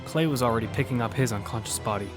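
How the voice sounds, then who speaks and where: Man narrating action fiction over loud music. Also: mid-range voice, fast talker. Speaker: first-person narrator and character in an audio fiction. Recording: loud music bed behind the voice.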